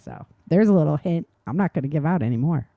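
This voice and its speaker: A woman's voice: deep voice, lively intonation, fast, no room sound, phone-quality sound. A woman co-hosting a show in a casual, chatty way, with an informal and enthusiastic manner. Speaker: podcast co-host and novelist. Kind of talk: casual chat.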